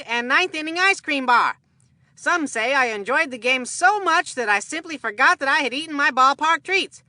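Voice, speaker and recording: A woman voicing an excitable, food-loving boy baseball commentator in a cartoon game, with a folksy, earnest showman delivery. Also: sits high, dry recording, heavily acted. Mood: dramatic storytelling.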